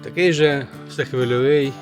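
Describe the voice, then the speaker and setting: A man presenting a casual hands-on demonstration of a vintage radio he restores, speaking haltingly and muttering to himself as he works. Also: medium pitch, faint music behind.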